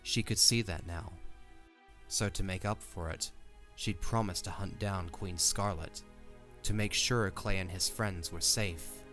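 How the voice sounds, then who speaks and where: A man's voice: deep voice, fairly even intonation, a little slow, fairly bright tone. A man giving scripted third-person narration for a fantasy audio drama. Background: faint music.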